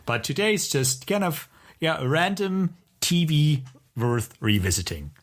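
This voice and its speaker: A man's voice: fairly high pitch, animated, measured pace. Enthusiastic man co-hosting a casual podcast. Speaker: podcast co-host.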